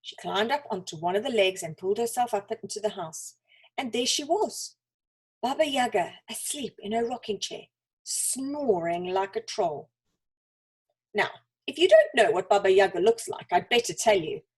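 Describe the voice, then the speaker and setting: A woman reads a children's story expressively, with dramatic pauses and deliberate emphasis. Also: mid-range voice, animated, breathy edge.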